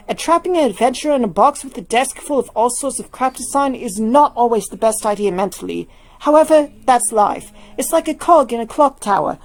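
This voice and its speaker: A woman voicing a tired, sarcastic but caring young woman in a fan fiction reading, in a wry, animated way. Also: fairly high pitch, pitched above the performer's own voice.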